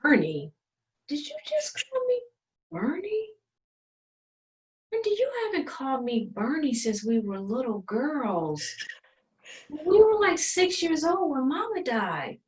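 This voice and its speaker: An actress playing an exasperated, practical adult sister in a stage drama, sassy and emphatic, recorded in a room away from the mic. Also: female voice, high-pitched, very animated, slow, pauses often, exaggerated voice. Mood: incredulous. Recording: roomy, distant from the mic.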